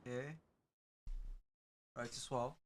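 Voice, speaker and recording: A man's voice: mid-range voice, fairly even intonation, takes it slowly, heavy background noise. A man livestreaming, chatting casually and informally as he reacts to a game.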